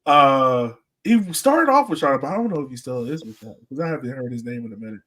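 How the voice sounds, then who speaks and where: A man's voice: medium pitch, animated, fast talker, a bit bright, recorded from a distance. A man co-hosting a sports podcast, chatting casually and telling stories in slangy, acted-out quotes. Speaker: podcast co-host.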